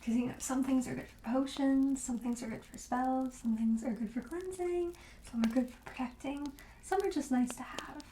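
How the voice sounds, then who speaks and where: A woman playing a friendly, soothing witch shopkeeper in an ASMR roleplay, speaking gently and hesitantly and drifting into whispers. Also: high-pitched, moderate pace, roomy sound, a little hiss or hum, lightly acted, poor audio. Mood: hesitant, apologetic. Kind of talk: soft-spoken ASMR roleplay.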